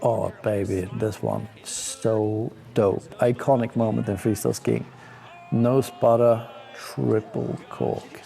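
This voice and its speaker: A man's voice: on the low side, fairly even intonation, takes it slowly, creaky, mellow. A young man from Sweden, speaking, gives laid-back, slangy, enthusiastic commentary while reacting to ski clips. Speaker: pro skier.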